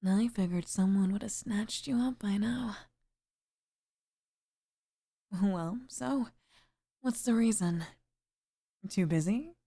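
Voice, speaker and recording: A woman as a slow-talking, soft, flirtatious mature mom. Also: medium pitch. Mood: tender, curious. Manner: soft, breathy, lingering, often near a whisper. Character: sweet flirtatious middle-aged single mother, warm, shyly flustered. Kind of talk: intimate ASMR roleplay acting.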